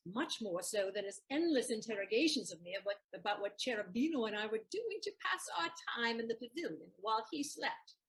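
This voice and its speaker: A spirited young bride with a very high, lively voice and a husky edge, as a woman acts her out. She speaks playfully and declaims her lines. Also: a little fast, few pauses, exaggerated voice, poor audio.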